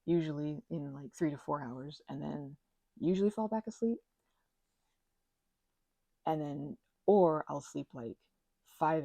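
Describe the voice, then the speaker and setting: A woman chatting gently in a meandering ASMR ramble, full of fillers and restarts, speaking affectionately to her listeners. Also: low voice, creaky, no room sound. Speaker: ASMR creator. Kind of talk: soft-spoken ASMR ramble while crafting.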